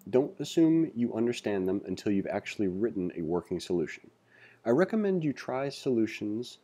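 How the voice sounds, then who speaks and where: A man's voice: fairly low pitch, warm tone, husky. A man hosting a casual read-along tutorial, reading aloud and adding informal asides to the viewer. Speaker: tutorial host.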